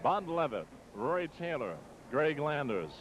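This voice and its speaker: A man's voice: on the high side, very animated, a little slow, a crowd in the background. A man calling live basketball play-by-play as a radio sportscaster, in clipped announcer phrasing, on a 1960s AM broadcast. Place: courtside in an exposition hall.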